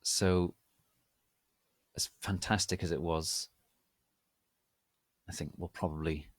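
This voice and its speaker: A man giving a theatrical dramatic reading of a story, deadpan about its absurd wording. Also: low voice, no echo. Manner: deadpan, reading misspellings and typos literally. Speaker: reader of online fan fiction.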